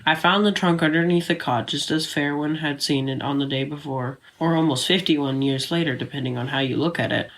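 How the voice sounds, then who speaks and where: A man's voice: fairly high pitch, steady tone, a little fast, few pauses, a bit bright, rough recording. A man playing an easygoing, adventurous teenage boy, narrating his own story in a matter-of-fact way for an audio drama. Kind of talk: scripted first-person story narration.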